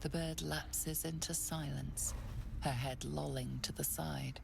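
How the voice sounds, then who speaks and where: Hushed grave fantasy game narration, slow and deep, storybook style. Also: female voice, fairly even intonation, vocal fry, background noise, full character voice. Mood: grave, measured. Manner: measured, hushed, deliberate. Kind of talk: scripted second-person narration.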